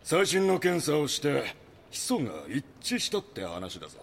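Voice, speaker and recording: A man's voice: on the high side, big pitch swings, moderate pace, gravelly, heavily acted. A man playing a pushy, callous chief editor in an audio drama, blunt and dismissive, with noise behind him. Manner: blunt, brusque, dismissive toward his junior. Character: pushy, callous male magazine chief editor, gloating over scoops.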